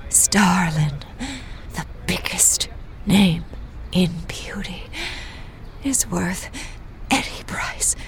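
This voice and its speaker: A woman's voice: lowish voice, drawn-out words, creaky, pauses often, heavily acted. A woman playing a dying cosmetics queen, gasping and whispering in a campy audio drama. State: breathless, whispering.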